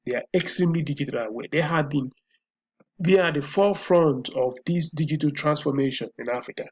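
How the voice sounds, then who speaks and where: A man's voice: a little high, fairly quick, darkish tone, slightly rough. A man with a Nigerian accent hosting a solo commentary show, thinking aloud with frequent repeats and restarts. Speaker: host.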